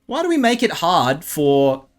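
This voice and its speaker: A man's voice: on the high side, huge pitch swings, unhurried, soft background music. An Australian man giving rambling, self-mocking commentary over gameplay, full of chatty asides. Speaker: gaming video creator.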